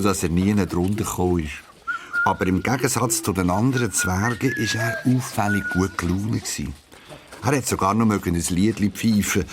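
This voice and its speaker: Low-voiced storyteller man. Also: background noise. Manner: expressive storytelling, drawn-out emphasis. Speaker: narrator. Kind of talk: children's audio story narration.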